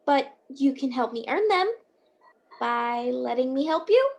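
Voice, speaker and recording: A very high-pitched, bumbling guardian angel played by a woman in a radio play. The delivery is earnest and chatty, with a kindly eagerness to help. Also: animated, unhurried, gravelly, a little mellow, highs cut off, soft background music, exaggerated voice. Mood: earnest, cheerful. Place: at home on a video call.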